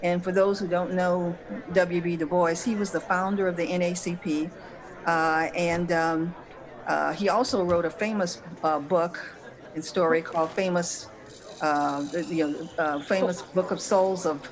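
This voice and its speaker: Female discussion panelist, lowish register, informal talk and reading aloud, other voices clearly heard behind. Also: steady tone, a little slow, crowd noise. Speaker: panelist on a holiday discussion show. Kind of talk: casual discussion and poem reading.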